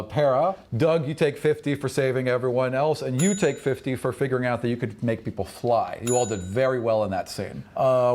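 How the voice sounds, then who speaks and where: A man's voice: mid-range voice, darkish tone. A man speaking as game master and host of a fantasy tabletop podcast.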